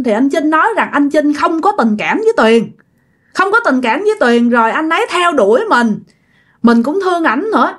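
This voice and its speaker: A sorrowful, pleading woman, played by a female storyteller, high in pitch with a Southern Vietnamese accent. She explains herself apologetically, repeating her denials with lively, rising and falling intonation.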